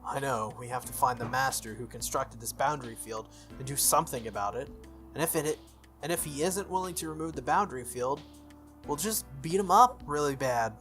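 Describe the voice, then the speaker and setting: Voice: mid-range voice, a little fast, a bit bright, natural voice. A let's-play reader voicing an earnest, puzzled teenage schoolboy hero from a visual novel, blunt and reluctant. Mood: determined. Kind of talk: visual novel dialogue read aloud. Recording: music under the voice.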